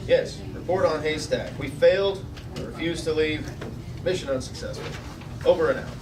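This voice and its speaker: A man reading the part of a male space pilot reporting by radio in a measured, halting way. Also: on the high side, close to an everyday voice. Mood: businesslike. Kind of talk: table read of a sci-fi script. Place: restaurant dining room table. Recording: distant mic in busy restaurant.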